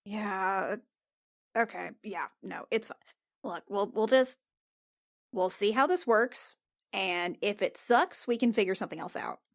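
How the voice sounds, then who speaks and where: A woman playing a flustered, awkward adventurer in a tabletop game, halting, repetitive and over-insistent. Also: mid-range voice, close to an everyday voice. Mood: uneasy, hesitant. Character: flustered, awkward young adventurer hiding embarrassment.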